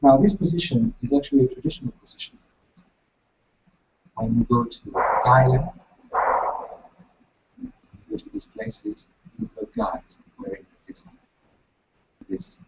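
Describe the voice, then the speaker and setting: A man with a big voice, teaching a ritual step by step in a lively way and switching between French and English as he explains. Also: medium pitch, a little slow, vocal fry, pauses often, lo-fi sound. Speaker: meditation teacher.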